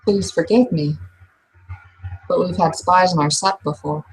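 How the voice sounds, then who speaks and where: A woman playing a wary but polite werewolf sept member, probing in a measured, hesitant way during a roleplaying game. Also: fairly low pitch, slightly rough, low-quality recording.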